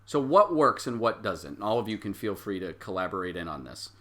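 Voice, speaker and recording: A man's voice: on the low side, very animated, brisk, bright, forceful tone. A man working as game master, improvising narration for a tabletop game and slipping into the characters' lines. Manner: acts out characters' lines in quotes while narrating.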